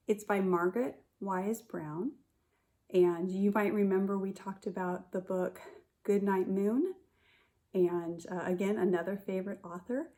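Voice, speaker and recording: A woman kindergarten teacher reading a picture book aloud to young children in a simple, expressive way, speaking to them directly. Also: medium pitch. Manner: addresses children directly with questions and prompts.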